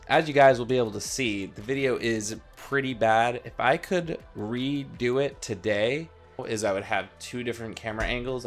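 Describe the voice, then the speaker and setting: A man giving a casual, informal intro as the host of an online video. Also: on the low side. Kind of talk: casual vlog intro to camera. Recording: background music under the voice.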